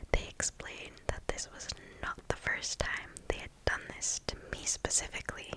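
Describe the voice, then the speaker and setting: A woman reading a story aloud for ASMR in a hushed, unvoiced whisper, deliberate and very close to the microphone. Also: rough recording. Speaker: ASMR host.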